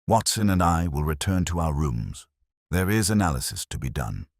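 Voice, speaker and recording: A man voicing a coolly precise, commanding detective with a crisp, dry, clipped delivery in a story reading. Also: sits low, very animated, moderate pace, no echo, full character voice. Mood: commanding, analytical.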